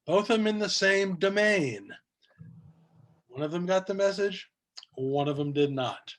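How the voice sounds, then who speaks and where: Man, fairly high voice, halting, self-deprecating, casual meeting report, video call. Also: expressive, fairly bright tone. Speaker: club member giving a technical report.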